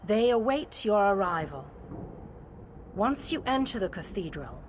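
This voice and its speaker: A woman voicing a calm, wise, ethereal guardian in a video game, speaking in a formal, measured, serene manner. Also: medium pitch, slight background noise. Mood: solemn.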